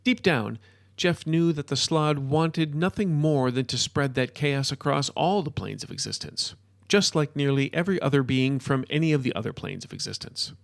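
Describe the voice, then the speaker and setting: A man's voice: a little high, animated, normal speed, vocal fry, no echo, studio quality. A man reading scripted fiction as a storyteller, narrating the action.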